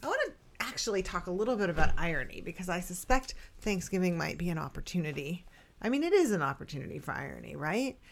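A woman's voice: on the low side, big pitch swings, quick pace, gravelly, slight background noise. A woman co-hosting a casual chat, rambling and laughing easily as she talks. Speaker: podcast co-host.

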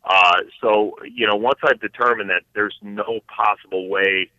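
A man explaining his work as an interview guest in a casual, halting way, restarting his phrases again and again. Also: fairly low pitch, steady tone, bright, forceful tone, no room sound. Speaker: podcast guest, financial advisor. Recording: thin phone-like line, dry.

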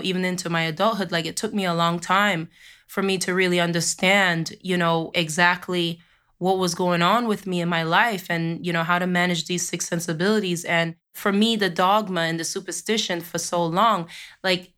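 A Jamaican-born woman hosting a spiritual podcast with a cold, losing her voice a little, who moves from slow chanted affirmations into a rambling talk full of fillers. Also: fairly low pitch, fairly bright tone, clean tone. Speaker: podcast host.

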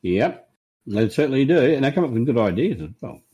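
A man with an Australian accent giving earnest, measured opinions on a football podcast panel. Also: mid-range voice, big pitch swings, mellow, slightly rough, muffled recording. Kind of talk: casual sports chat.

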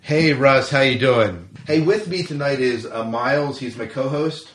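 A man's voice: mid-range voice, a little slow. A man hosting a podcast in a casual, conversational style. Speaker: podcast host.

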